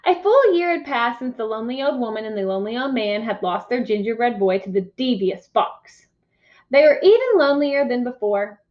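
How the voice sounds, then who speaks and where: A woman with a high voice reads a children's picture book as a teacher would. She is expressive and instructive, checking in with questions. The recording is a bit dull and lo-fi. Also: fairly quick, few pauses. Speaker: teacher reading aloud. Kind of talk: picture-book read-aloud for young children. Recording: dull, muffled lo-fi sound.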